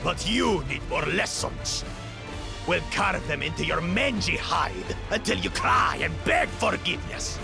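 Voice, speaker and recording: Slow, creaky, sneering brute villain. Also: male voice, high voice, animated, over music, exaggerated voice. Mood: gloating menace. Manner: sneering, drawn-out taunting. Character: menacing, mocking male brute villain with a cruel streak.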